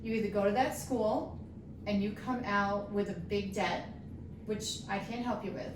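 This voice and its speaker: A woman from Long Island, New York speaks as a podcast guest with a medium-pitched voice. She tells personal stories in a casual, emphatic way. The room is echoey and she sits some distance from the microphone. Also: steady tone, normal speed, noisy background.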